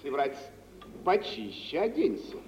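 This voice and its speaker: Напыщенного господина с высоким голосом. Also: male voice, on the high side, wildly swooping pitch, measured pace, darkish tone. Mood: condescending. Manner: haughty, affected. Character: pompous, touchy, self-important minor official. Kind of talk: 1940s-50s radio theatre acting. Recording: old radio recording, echoing hall, muffled, hissy.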